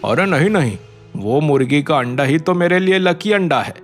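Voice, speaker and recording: A man's voice: on the high side, lively intonation, moderate pace, soft background music, lightly acted. A man voicing a greedy, insistent village merchant in a cartoon, talking in a pushy, familiar way.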